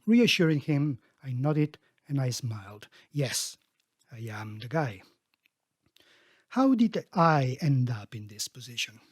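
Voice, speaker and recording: A middle-aged man reading his own book aloud as its author, expressively, with emphatic, deliberate phrasing. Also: mid-range voice, very animated, breathy edge, no room sound, studio quality.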